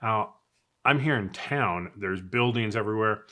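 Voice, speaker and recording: Low-voiced American man giving a casual review. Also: unhurried, sharp tone. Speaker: product reviewer and off-road video host. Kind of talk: casual product review to camera. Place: indoors in a house.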